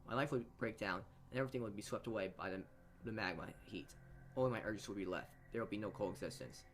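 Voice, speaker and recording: A man's voice: on the low side, fast, fairly bright tone, slight background noise. A man reading a visual novel aloud as a let's play host, stumbling over words and rereading phrases as he goes. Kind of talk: reading narration aloud with side comments. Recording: music behind the voice. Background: game music.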